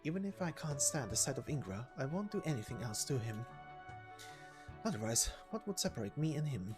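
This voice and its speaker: A man's voice: medium pitch, sing-song, a little fast, over music, close to an everyday voice. A man voicing an earnest, determined young female knight in a game story reading, restrained and serious. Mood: subdued, serious. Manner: restrained, serious, plain-spoken.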